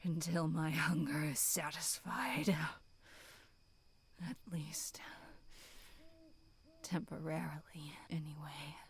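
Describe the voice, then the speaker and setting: A woman voicing a bloodthirsty female demonic monster in a breathy, menacing whisper for a horror ASMR roleplay, sinister yet tender. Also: low-pitched, steady tone, a little hiss or hum, heavily acted. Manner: slow, drawn-out, menacing whispering.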